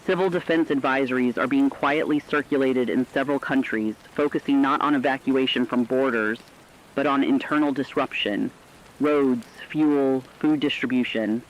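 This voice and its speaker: A man playing a serious news correspondent, giving scripted emergency analysis in a deliberate, foreboding way. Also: mid-range voice, natural voice. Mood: sober, foreboding. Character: sober news correspondent analysing a looming war. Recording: dull lo-fi radio sound, faint noise.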